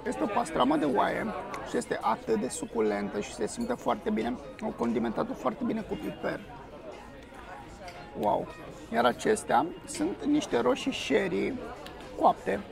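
A man's voice: very high voice, very animated, creaky. A young man, enthusiastically reviewing food to a camera on the table at an outdoor restaurant terrace. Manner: enthusiastic, exclaims while tasting. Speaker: food vlogger. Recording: crowd chatter on a terrace. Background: diners chattering.

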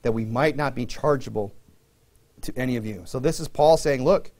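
A man's voice: mid-range voice, moderate pace, darkish tone. A middle-aged man preaching a sermon from a pulpit on a church stage, reading scripture aloud and restarting phrases as he explains. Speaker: pastor. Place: church stage before a dark curtain.

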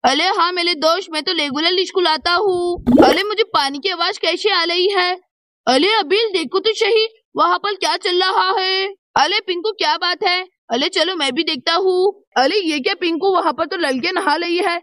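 A chatty, eager small child from a school story, played by a woman. The voice is extremely high and bright, urging and exclamatory, in a dry recording with no room sound. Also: normal speed, dry recording. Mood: chatty, curious.

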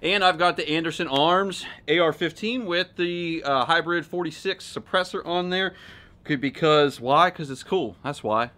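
A man in his thirties with a slightly high voice talks to camera outdoors. He sounds laid-back and wry, chatting informally like the host of a hobby vlog. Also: normal speed, bright, forceful tone. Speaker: host of a shooting channel. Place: outdoors at a wooded backyard shooting range.

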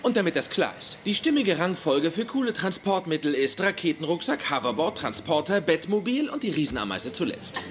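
High-voiced pedantic young nerd, noisy soundtrack. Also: male voice, very animated, fairly quick, few pauses. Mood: smug lecturing. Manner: precise, lecturing, pompous. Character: pedantic, know-it-all young male nerd, literal and superior. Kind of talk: German-dubbed sitcom acting.

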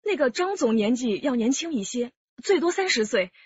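一位女演员在广播剧中扮演精明的年轻上海妻子，也是劫案受害者，声音带气声。. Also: female voice, high voice, big pitch swings, normal speed, breathy edge, dry recording, lo-fi sound, heavily acted. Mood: shaken. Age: young adult. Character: shrewd young Shanghai wife, robbery victim. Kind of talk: radio drama acting.

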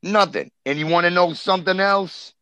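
A man's voice: sits high, moderate pace, fairly bright tone, clear, resonant tone, dry recording. A man playing a surly, stubborn suspect, defiant and slangy, in a comic radio crime drama. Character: surly, stubborn male wise-guy suspect.

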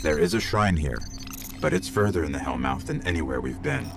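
A man playing a small, watchful robotic AI companion giving expressive mission briefings in a video game. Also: rumbling low, a little fast, gravelly, heavily acted. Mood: measured, wary. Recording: loud game music behind.

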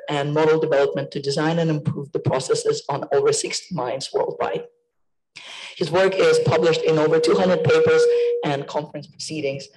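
A woman with a croaky voice, formally introducing a guest speaker as seminar co-chair in a lecture theatre. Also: sits low, darkish tone, poor audio.

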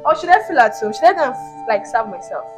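A woman plays a bold, entitled mistress who barges in and demands attention, her voice high and bright. Light music runs behind her. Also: sing-song, fast. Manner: pushy, entitled, says "like" a lot. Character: bold young mistress posing as in-law.

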